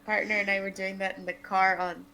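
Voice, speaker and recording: A woman's voice: medium pitch, flat delivery, a little fast, bright, forceful tone, a little hiss or hum, poor audio. A woman chatting casually and jokily as a co-streamer during a game. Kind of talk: casual, joking chat over a game.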